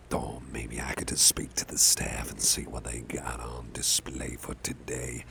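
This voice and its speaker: A man playing a big, gruff but caring boss in an ASMR roleplay, murmuring gently with a gravelly, often breathy voice and soft hesitations. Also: very deep voice, a little hiss or hum, exaggerated voice. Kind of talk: ASMR personal-attention roleplay.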